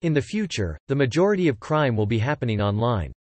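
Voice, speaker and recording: A man's voice: mid-range voice, big pitch swings, quick pace, darkish tone, dry recording. A man narrating an English lesson, reading example sentences and definitions aloud and repeating each one evenly.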